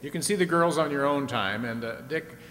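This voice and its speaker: A man playing the jovial host of an old-time radio stunt show, chatting to the audience and pitching sponsors. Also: a little fast, fairly bright tone, lightly acted. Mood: upbeat. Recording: echoey hall with clear background noise.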